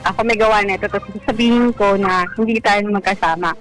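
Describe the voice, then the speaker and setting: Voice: medium pitch, fairly even intonation, fairly bright tone. Filipino caller recounting a story over music. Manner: halting, retells conversations as quoted dialogue. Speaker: caller telling a personal love story. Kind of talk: casual spoken storytelling to radio hosts.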